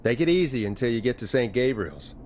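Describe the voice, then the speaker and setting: An actor playing a radio DJ in a horror game, measured, dry and probing as he questions a caller. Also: mid-range voice, sing-song, darkish tone, slight background noise, close to an everyday voice. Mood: concerned, probing. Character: middle-aged radio DJ calmly probing a dark mystery. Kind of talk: dramatic video game voice acting.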